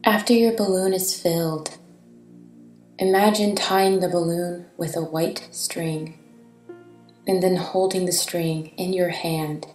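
Very slow, soothing woman meditation guide. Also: lowish voice, fairly even intonation. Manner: soothing, gentle, deliberate with long pauses. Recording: reverberant, distant-sounding voice over soft music.

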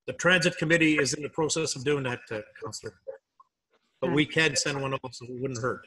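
Bright-voiced man chairing a meeting. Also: medium pitch, fairly quick, slightly rough, low-quality recording. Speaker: mayor chairing a council meeting. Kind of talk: informal meeting discussion. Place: remote video call from a room. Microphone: video call.

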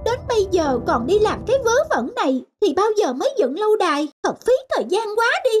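High childlike proud sparrow chick. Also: female voice, big pitch swings, brisk. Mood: scornful. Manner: childlike, self-important. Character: proud, impatient young sparrow chick with big dreams. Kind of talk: cartoon voice acting. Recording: music behind the voice.

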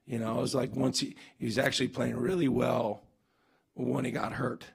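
A man's voice: medium pitch, flat delivery, moderate pace, gravelly, breathy edge. A man in his forties, a basketball coach, answering reporters' questions after a game in earnest, emphatic bursts full of restarts and fillers, seated at a table mic in a press room. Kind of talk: postgame press conference. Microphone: table mic on stand.

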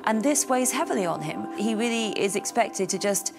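A woman's voice: mid-range voice, expressive, moderate pace, creaky, music in the background. A woman commenting in a documentary interview in an earnest, explanatory way.

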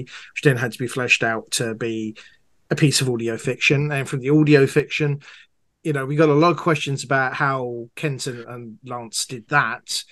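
A man's voice: mid-range voice, a bit bright, no echo. A man giving conversational, explanatory answers as an author being interviewed, talking at length.

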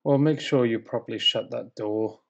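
A man's voice: mid-range voice, normal speed, gravelly, close to an everyday voice. A man plays a sceptical husband of ancient Bethlehem who badly wants his sleep. He delivers spoken-word verse in a British accent, grumbling, sarcastic and exasperated.

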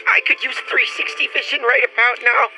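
An actor playing an eager, excitable animal-loving park guest in a comedic radio play, gushing and exclamatory. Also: male voice, very high voice, brisk, soft background music, heavily acted, low-quality recording.

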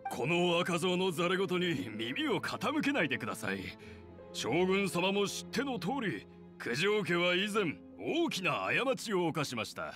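A man's voice: high-pitched, huge pitch swings, measured pace, a bit bright, soft background music, full character voice. An actor playing a scheming, easily indignant elderly clan head in an anime game, formal and deferential to his ruler. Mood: defensive, obsequious. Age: older. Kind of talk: anime game character acting.

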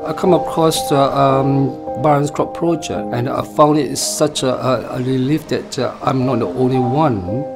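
A middle-aged man from Malaysia, talking in a documentary interview in a halting way with many pauses and fillers. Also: mid-range voice. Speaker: project member. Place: indoor art room. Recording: music bed underneath.

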